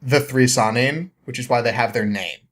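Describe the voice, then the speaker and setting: A man's voice: medium pitch, normal speed. Casual young man, rambling recap. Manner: frequent chuckling, informal. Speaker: podcast guest.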